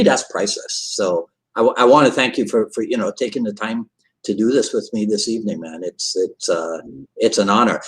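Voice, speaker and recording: A man's voice: fairly low pitch, rough recording. A man hosting a martial arts podcast, talking in an upbeat, chatty way and joking with his listeners. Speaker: podcast host. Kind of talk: casual interview talk and sign-off.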